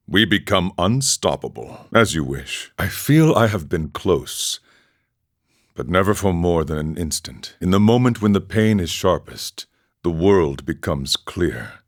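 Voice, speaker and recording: A man playing a serene, world-weary wandering monk in a video game, speaking in a measured, formal, philosophical manner. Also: low-pitched, no room sound, studio quality. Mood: grave, resolute. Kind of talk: video game character dialogue.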